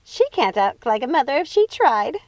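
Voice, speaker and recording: A woman's voice: sits high, big pitch swings, normal speed, darkish tone, no echo, pitched above the performer's own voice. A woman voicing a scornful, easily amused witch sister in a storybook, mocking and exclamatory.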